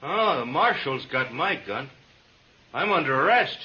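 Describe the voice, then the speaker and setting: High, sharp-voiced desperate outlaw. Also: male voice, very animated, a little slow, heavily acted. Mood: urgent bargaining. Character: desperate outlaw prisoner, wary, bargaining western man. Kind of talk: 1950s radio western acting. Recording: lo-fi, faintly noisy 1950s radio recording.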